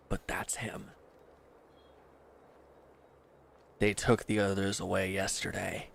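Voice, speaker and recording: Man acting a gravelly, bitter defeated villain. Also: deep voice, a bit bright, a little hiss or hum, heavily acted. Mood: subdued, grieving. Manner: sardonic, accusing, weary. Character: bitter wounded villain, grieving and accusing. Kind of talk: scripted audio roleplay addressed to the listener.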